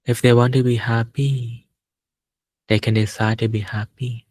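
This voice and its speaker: A man leading a guided meditation as a teacher, speaking calmly and without hurry, in short phrases with long pauses. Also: medium pitch, no room sound.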